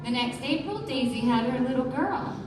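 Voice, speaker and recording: A woman's voice: sits high, animated, moderate pace. A woman acting a poised young socialite who narrates dramatically in a live radio play. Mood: dramatic storytelling. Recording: echoey stage, distant mic, music behind.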